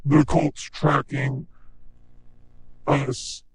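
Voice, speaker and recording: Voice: flat delivery, extremely slow, vocal fry, exaggerated voice. An actor playing a grim, commanding male cyborg in dramatic game-style lines, clipped and ominous, with digital robotic effects on the voice. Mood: grim, menacing. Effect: robotic digital pitch-shifted, chorus cyborg processing. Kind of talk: dramatic game character line reading.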